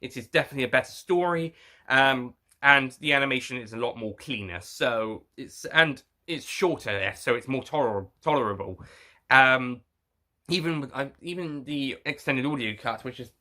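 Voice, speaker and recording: Bright-voiced man giving a halting casual review. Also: mid-range voice. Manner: halting, rambling, often rephrases himself. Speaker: review show host. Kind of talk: unscripted video review, casual talk to viewers.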